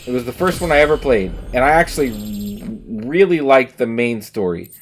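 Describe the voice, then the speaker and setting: A man's voice: medium pitch, lively intonation, moderate pace, a little hiss or hum, poor audio. A man chatting casually and emphatically on a livestream while playing a game, giving opinions with some swearing. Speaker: game streamer. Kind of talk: casual livestream chat over gameplay.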